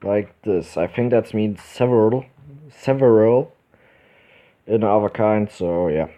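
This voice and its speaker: A man from Germany with a German accent hosting a casual let's play, reading visual novel text aloud haltingly and correcting himself as he goes. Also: on the low side, mellow. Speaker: gaming video host. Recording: dull lo-fi microphone, music behind.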